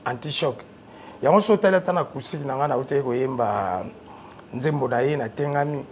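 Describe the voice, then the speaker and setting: A man's voice: medium pitch, normal speed, slight background noise. A man with a Congolese French accent, a studio interview guest talking casually and animatedly about his music career. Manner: animated storyteller, rising loud when excited. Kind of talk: casual interview talk, mixing French and Lingala. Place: TV studio, seated on a sofa.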